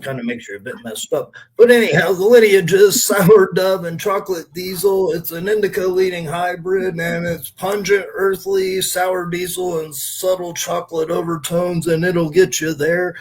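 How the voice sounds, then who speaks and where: A man's voice: sits high, fairly even intonation, moderate pace, few pauses, poor audio. A man co-hosting a podcast, chatting casually and plugging things in a joking, loose-tongued manner. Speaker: podcast co-host. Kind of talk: casual chat with promotional plugs.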